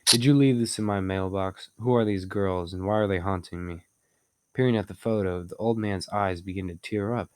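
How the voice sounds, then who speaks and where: A man's voice: low-pitched, steady tone, moderate pace, close to an everyday voice. A man acting as the haunted narrator of a gothic audio drama, telling it in the first person, grave and suspenseful. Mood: demanding. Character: haunted, desperate male narrator of a gothic tale. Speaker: narrator and protagonist.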